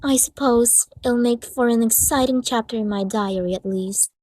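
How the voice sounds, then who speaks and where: Woman voicing a high, theatrical, genteel pony lady. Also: normal speed, darkish tone, dry recording, close to an everyday voice. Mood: resigned, wistful. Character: refined, dramatic, generous female pony adventurer. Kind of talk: amateur comic dub voice acting. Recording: music behind the voice.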